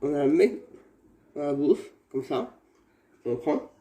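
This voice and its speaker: A man's voice: a little high, expressive, mellow. Rambling man with a cold, echoey room. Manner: rambling, repeats himself, halting restarts. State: has a cold. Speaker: vlogger. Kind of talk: casual home how-to vlog. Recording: echoey room with faint background noise.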